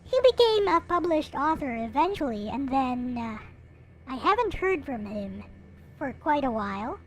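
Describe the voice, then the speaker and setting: A woman hosting a live stream in casual chat, rambling along with frequent hesitant pauses. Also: sits high, big pitch swings, faint music behind, rough recording.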